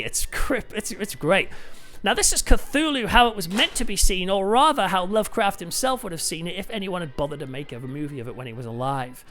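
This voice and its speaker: A man's voice: a little high, fairly bright tone. A man hosting a casual, enthusiastic, chatty review. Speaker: host and reviewer.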